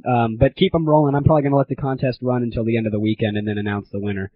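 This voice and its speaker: A man's voice: medium pitch, fairly even intonation, very fast, a little hiss or hum. A teenage man hosting a casual comedy monologue on a gaming audio show, irreverent, crude and mock-grandiose, on a lo-fi recording. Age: teens. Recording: dull lo-fi 1990s internet audio.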